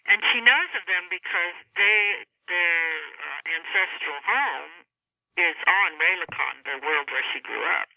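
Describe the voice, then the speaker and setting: A woman speaks as an author in a relaxed interview format, explaining things and pausing mid-sentence. Her voice comes through a narrow, tinny phone line with very little room sound. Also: lowish voice, gravelly, no echo. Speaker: author being interviewed about a novel. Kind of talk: conversational interview. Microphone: telephone.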